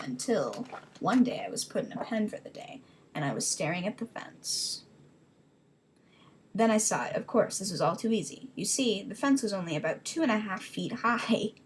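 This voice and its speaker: Animated woman reading a story, slightly noisy recording. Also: medium pitch. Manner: rambling, self-mocking. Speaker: vlogger reading own childhood story aloud. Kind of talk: dramatic reading with casual joking asides.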